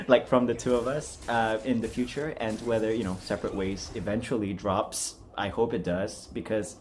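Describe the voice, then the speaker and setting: A man's voice: on the low side, fairly even intonation, moderate pace, slight background noise. A man hosting a casual voice acting challenge, chatty, giggly and self-deprecating. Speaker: amateur voice acting challenge host.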